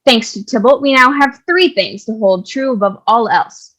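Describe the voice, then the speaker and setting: A woman's voice: on the high side, moderate pace, fairly bright tone. A woman playing an eccentric, self-assured cleaning lady who tells tales theatrically and emphatically in a staged comedy reading. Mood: smug, declaiming. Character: chatty, opinionated cleaning lady Sister Lawrence, eccentric storyteller.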